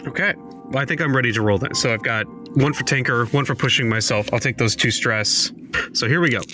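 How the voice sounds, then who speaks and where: A man's voice: medium pitch, fairly quick, over music. A man playing a tabletop game, chatting casually and thinking aloud. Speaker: player in a tabletop role-playing podcast.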